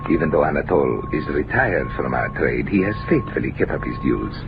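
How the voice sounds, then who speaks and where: An actor playing a courtly, sly rug merchant with ornate, formal speech in an old radio drama. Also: male voice, a bass voice, expressive, gravelly, full character voice. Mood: obliging, sly. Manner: ornate, formal, deliberate salesmanship. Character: courtly, sly, mysterious male Oriental rug trader. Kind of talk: old time radio character acting. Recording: dull, noisy old radio recording.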